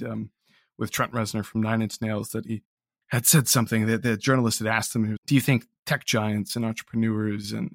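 A man speaking as an interview guest about his writing, earnest and self-deprecating, in a reflective, casual and halting way. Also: medium pitch, gravelly, dry recording, clean studio sound. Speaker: interview guest, audio drama writer.

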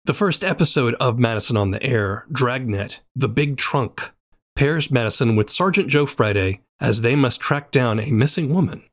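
A man hosting a podcast, reading a measured scripted intro and asking interview questions. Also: medium pitch, lively intonation. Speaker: podcast host.

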